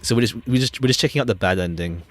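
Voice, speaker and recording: A man's voice: mid-range voice, racing, a little hiss or hum. A man streaming a game with casual commentary, rambling hesitantly and repeating phrases as he goes. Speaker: live streamer. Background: faint jazzy game music.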